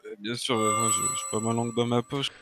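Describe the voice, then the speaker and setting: A man playing a tabletop role-playing game, speaking haltingly and often repeating his words. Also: medium pitch, little pitch movement, loud music behind the voice. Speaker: player. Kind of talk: casual role-play talk.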